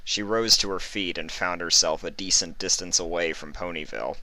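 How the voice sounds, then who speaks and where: Guy reading a dramatic story, low voice but really bright and punchy, no room sound. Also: a little fast, dry recording, poor audio. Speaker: fan fiction narrator. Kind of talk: dramatic scripted story narration.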